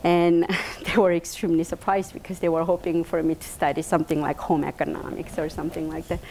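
A woman's voice: deep voice, a little fast, a little hiss or hum, rough recording. A woman in her fifties from South Korea with a Korean accent, giving a personal talk to an audience in a meeting room, hesitant with many fillers. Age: 50s. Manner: hesitant, many fillers, animated hand gestures. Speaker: invited speaker. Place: bright meeting room indoors.

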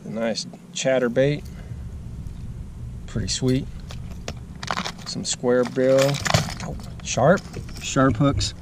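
A man's voice: medium pitch, steady tone, drawn-out words, pauses often. A man in his forties unboxing fishing gear outdoors beside a boat, talking in a laid-back, enthusiastic way with plenty of fillers. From Arizona, USA. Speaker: fishing vlogger. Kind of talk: casual unboxing vlog. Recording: outdoor camera audio with background noise.

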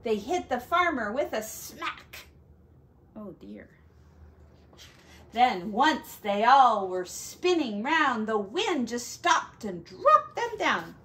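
A woman teacher reading a picture story aloud to children, expressive and dramatic, with chatty asides. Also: on the high side, slow pace.

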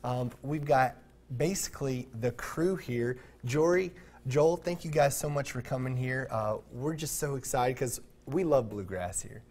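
A man in his thirties hosts a daytime TV segment from a studio. He talks in an upbeat, chatty way and laughs easily, with a medium-pitched voice. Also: a little slow, a little mellow. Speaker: TV show host.

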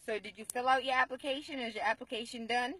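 A woman in her thirties with a bright, forceful, fairly high voice questions her guest bluntly and expressively. She speaks into a phone held at arm's length inside a car, and the sound is close and dry. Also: fast, slightly rough, no room sound, poor audio. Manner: blunt, expressive, talks straight to camera. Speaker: vlogger and interviewer. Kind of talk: casual, animated interview vlog. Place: driver's seat of a car.

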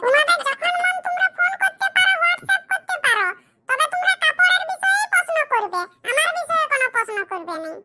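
A man voicing a boastful young cartoon sari seller with a rural West Bengali accent and a pitched-up, emphatic voice. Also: big pitch swings, fairly quick, over loud music. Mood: eager sales pitch. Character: boastful, squeaky young bachelor selling saris online. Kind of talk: comic cartoon dialogue.

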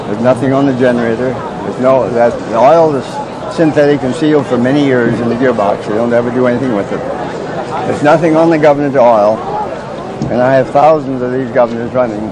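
An older man who builds wind turbines, calmly explaining how they work to people at an outdoor fair, with plenty of uh's. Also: medium pitch, fairly quick, crowd noise. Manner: plain, matter-of-fact explaining with frequent uh. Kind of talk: explaining to fairgoers. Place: outdoor fairground. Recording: noisy outdoor camcorder audio.

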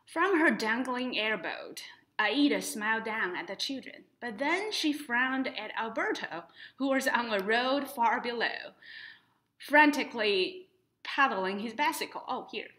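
A young woman reading a children's picture book aloud in an expressive, deliberate storytelling style, adding short explanatory asides. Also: a little high, poor audio. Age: young adult. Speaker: library storytime reader. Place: indoors in front of a painted woodland mural.

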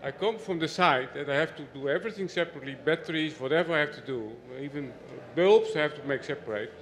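Dutch guy in his 50s talking from the crowd on a handheld mic, kinda high voice, chatty and loose. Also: fast, fairly bright tone. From Netherlands. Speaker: audience member. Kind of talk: spontaneous remarks from the floor. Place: large indoor event hall.

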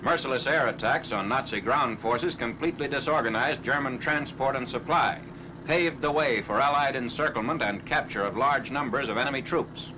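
A man's voice: mid-range voice, moderate pace. A man reading a scripted 1940s military newsreel narration in a formal, factual manner. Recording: noisy 1940s newsreel soundtrack.

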